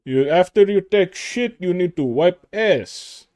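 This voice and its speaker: A man's voice: high voice, expressive, slow, a little mellow. A man putting on an Indian accent to play a nervous, boastful caller with broken grammar on a call-in stream. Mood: rambling. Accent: put-on Indian. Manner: broken grammar, stammering, pushy. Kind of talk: prank call-in bit.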